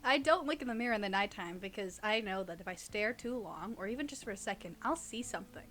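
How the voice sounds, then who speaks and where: A woman's voice: mid-range voice, expressive, fast, a bit bright. A young woman in casual conversation as a podcast co-host, informal and teasing, reading creepy stories aloud. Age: young adult.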